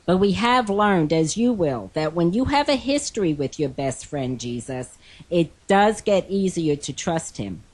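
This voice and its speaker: Hoarse older woman reading scripture gently. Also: on the low side, lively intonation, measured pace. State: hoarse from a cold. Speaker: co-host.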